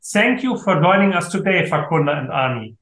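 A man hosting an interview podcast as a professor, asking formal, measured questions. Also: fairly high pitch, lively intonation, fairly bright tone. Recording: echoey room.

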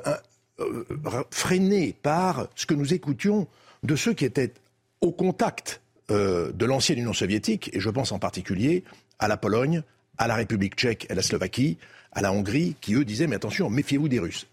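Husky older French man with animated intonation. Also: medium pitch. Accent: French from France. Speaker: debate guest, former diplomatic envoy. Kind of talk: radio debate, recounting diplomatic history.

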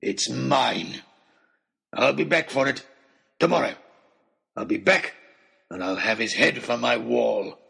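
A man's voice: mid-range voice, slow, gravelly, pauses often, bright, forceful tone, husky, exaggerated voice. A man voicing a ragged, half-mad, angry man with a gun in a storybook reading, blustering and menacing. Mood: gloating menace.

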